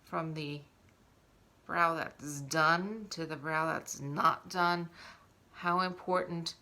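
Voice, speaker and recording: A woman's voice: low voice, fairly even intonation, takes it slowly, sharp tone. A woman hosting a casual makeup tutorial, explaining each step as she demonstrates it. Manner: instructional, talking while demonstrating.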